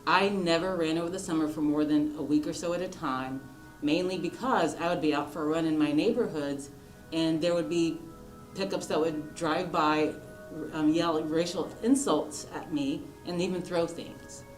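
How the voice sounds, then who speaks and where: Low-voiced woman in a roomy interview. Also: fairly even intonation, normal speed. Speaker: interviewee on mental health and diversity. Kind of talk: thoughtful interview talk. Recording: roomy echo, music underneath. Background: background music.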